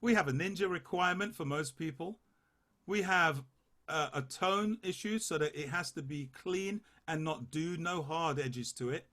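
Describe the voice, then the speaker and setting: A man leading a choir rehearsal, coaching the basses and singing short phrases to demonstrate. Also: pushed and bright. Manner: unhurried, sings phrases to demonstrate. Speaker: choir leader.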